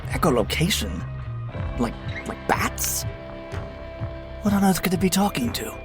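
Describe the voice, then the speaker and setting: A man playing a polite, hesitant scientist who speaks haltingly and deferentially in an amateur audio drama. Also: sits high, big pitch swings, over music. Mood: hesitant, puzzled. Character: hesitant, polite male scientist, software engineer.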